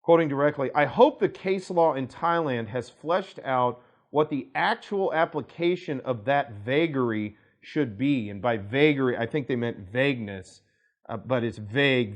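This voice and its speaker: A man's voice: mid-range voice, muffled recording. A man, a law firm director, explaining legal concepts to camera in a conversational way, with frequent restarts and asides. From United States.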